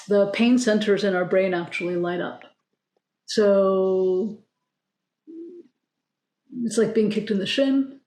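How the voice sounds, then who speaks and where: A woman on a panel, an executive coach, explaining ideas in a measured, emphatic way. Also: medium pitch, a little mellow. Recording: roomy echo.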